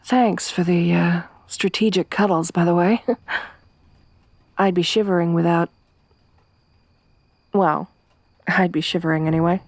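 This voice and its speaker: A woman voicing a tough young woman survivor around thirty in an ASMR roleplay, soft-spoken with dry humor. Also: on the low side, fairly even intonation, takes it slowly, long pauses, dry recording. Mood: relaxed. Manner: hushed whispering when hiding.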